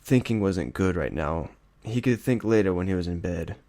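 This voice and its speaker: A man's voice: deep voice, expressive, moderate pace, mellow, no room sound. A man reading a story aloud as dramatic scripted narration. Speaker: audiobook reader.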